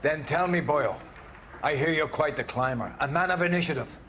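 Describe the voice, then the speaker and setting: An actor playing a stern, grandiloquent older police captain in a video game, preaching with paternal menace. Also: male voice, on the high side, a bit bright, slight background noise, lightly acted. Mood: grand, probing. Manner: grandiloquent, preachy, deliberate phrasing. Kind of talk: video game cutscene acting.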